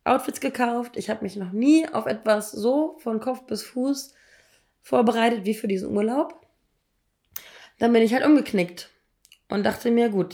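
Hoarse, higher-voiced woman in her thirties. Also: a little mellow. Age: 30s. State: hoarse, ill. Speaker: podcast co-host. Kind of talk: casual personal storytelling.

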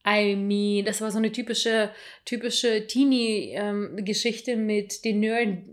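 A woman's voice: medium pitch, steady tone, takes it slowly. A woman around thirty in casual conversation, telling a personal story candidly, with restarts and self-mocking asides. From abroad, came to Germany at ten. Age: 30s.

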